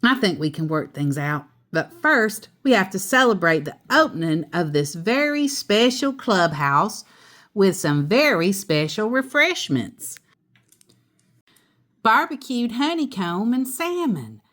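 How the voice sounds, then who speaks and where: Lady reading as a patient storybook mom bear, sensible, slow, explains things with lots of lilt. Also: mid-range voice, fairly bright tone. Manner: measured, instructive. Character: sensible, patient mother bear giving gentle moral lessons.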